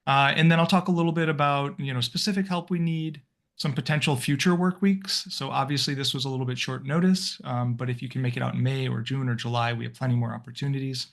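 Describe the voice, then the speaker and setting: A man's voice: medium pitch, fairly even intonation, fast talker, vocal fry, fairly bright tone. Rambling friendly man hosting a video call. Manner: friendly, rambling with fillers and restarts. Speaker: volunteer coordinator, call host. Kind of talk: casual video-call welcome.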